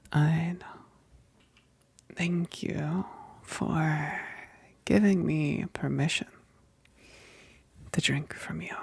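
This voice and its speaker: Breathy whispering vampire woman, acted by a woman, intimate ASMR. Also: sits low, darkish tone, no echo, exaggerated voice. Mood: hungry, coaxing. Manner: slow, halting, intimate, with sighs. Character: female vampire best friend, tender yet menacing, hungry.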